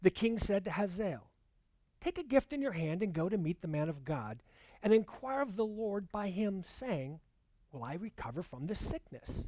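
A man's voice: high-pitched, big pitch swings, darkish tone, no room sound, rough recording. Earnest man preaching, dry close sound. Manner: earnest, measured, slows on key words. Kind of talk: sermon with scripture reading.

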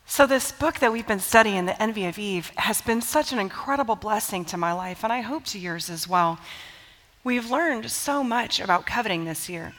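Bright-voiced woman teaching a church study. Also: mid-range voice, big-room sound. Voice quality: slightly raspy from allergies. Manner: warm, conversational, with rhetorical questions to the group. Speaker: women's Bible study teacher. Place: church hall.

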